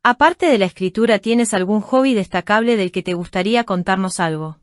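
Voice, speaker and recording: Woman radio host, dry clear read. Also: mid-range voice, a little fast, dry recording. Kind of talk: reading introduction and interview questions.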